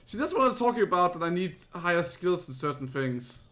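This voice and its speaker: A man's voice: high-pitched, big pitch swings, fairly quick, a bit bright. A man from Denmark with a Danish accent, giving casual, chatty let's play commentary as a streamer who gets easily spooked.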